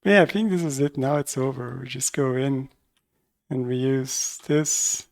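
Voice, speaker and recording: Unhurried man, low-key game stream chat. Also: gravelly. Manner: low-key, thinking aloud. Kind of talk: casual live gameplay commentary.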